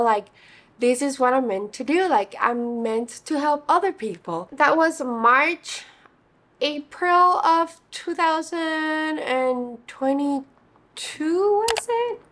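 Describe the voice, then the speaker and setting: A young woman in her twenties with a slightly raspy, hoarse voice, chatting casually while getting ready, full of fillers. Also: high-pitched. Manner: chatty, many fillers like "like" and "you know". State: hoarse from singing. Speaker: beauty vlogger. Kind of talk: casual get-ready-with-me chat.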